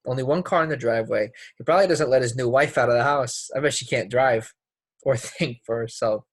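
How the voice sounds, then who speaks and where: A man's voice: mid-range voice, fairly quick, slightly rough, no echo, studio quality, natural voice. Man playing a guarded, troubled teenage boy. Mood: bitter. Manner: guarded, folksy ungrammatical talk. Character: troubled, defensive teenage boy, small-town drama student. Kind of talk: play readthrough, dramatic dialogue.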